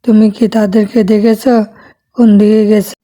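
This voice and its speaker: A woman's voice: medium pitch, little pitch movement, normal speed, dark tone, pitched above the performer's own voice, lightly acted. A woman voicing a caring mother tailorbird in a cartoon, with a simple motherly delivery. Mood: worried. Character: caring mother tailorbird searching for her little chicks.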